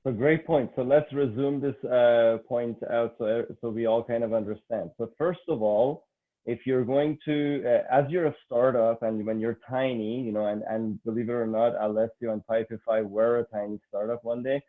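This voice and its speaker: A Canadian man hosts an online conversation, restating the guest's points and setting up questions with frequent restarts. His voice sits at a middle pitch. The audio is narrow and tinny, like a phone line. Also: steady tone, normal speed. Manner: summarizing and framing questions, repeated starts. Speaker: host. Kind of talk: online interview.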